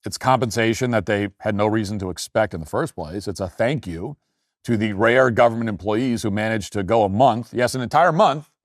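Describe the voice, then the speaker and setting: A man's voice: on the low side, fairly quick, no room sound, clean studio sound. An American man hosting an opinion show, delivering an argumentative commentary monologue with emphatic points and rhetorical questions. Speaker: political commentary show host. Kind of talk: opinion commentary monologue, reading posts aloud.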